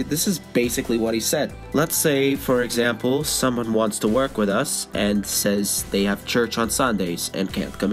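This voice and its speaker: A young man with a cold and a stuffed-up voice, telling a story in a casual vlog with dry sarcastic asides. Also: medium pitch. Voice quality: stuffed-up nasal voice. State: has a cold. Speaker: vlogger.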